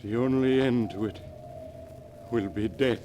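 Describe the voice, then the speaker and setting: An actor playing a fearful, haunted middle-aged uncle in a melodramatic 1940s radio play. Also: male voice, mid-range voice, steady tone, unhurried, lightly acted. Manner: grave, melodramatic. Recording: old radio recording, clear noise.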